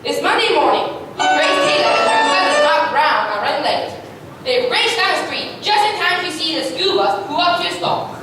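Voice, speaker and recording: A woman's voice: very high-pitched, expressive, moderate pace, over music. A young woman student narrating a scripted story to an audience as part of readers' theatre. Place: large echoey indoor hall. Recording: echoey hall, distant mic, clear noise.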